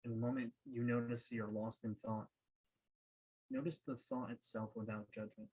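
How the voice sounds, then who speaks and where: A man leading a guided meditation as a coach, speaking in a calm, unhurried way with pauses. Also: medium pitch, breathy edge, low-quality recording.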